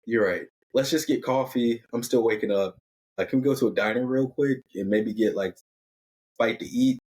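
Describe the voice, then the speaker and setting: A man voicing an anxious, excitable young outdoorsy guy in a story, earnest and full of slang. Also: medium pitch, moderate pace, lightly acted. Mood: resigned.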